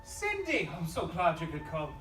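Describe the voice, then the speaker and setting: A woman's voice: on the low side, huge pitch swings, moderate pace. A woman playing a hospitable, folksy stowaway in a live audio drama. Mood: welcoming. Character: welcoming, warm-hearted stowaway host. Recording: echoey live stage, distant, loud music.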